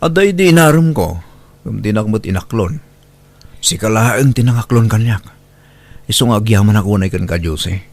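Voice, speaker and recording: A man's voice: lowish voice, animated, normal speed, pauses often, a little mellow, dry recording. An actor with an Ilocano accent playing a humble young working man and devoted boyfriend in a radio drama. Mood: tender, earnest.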